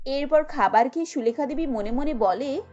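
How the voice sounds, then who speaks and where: High-pitched woman narrating a cartoon story. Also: moderate pace, dark tone. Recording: dull lo-fi audio with music bed.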